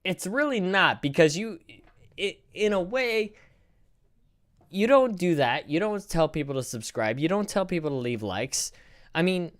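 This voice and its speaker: A man in his thirties hosting a casual live stream, reacting in a rambling, profane, animated way. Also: high voice, unhurried. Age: 30s.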